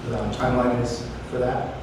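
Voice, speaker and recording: A man's voice: mid-range voice, completely flat delivery, moderate pace. A man asking a question as a reporter at a press conference. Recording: distant, very echoey, noisy room.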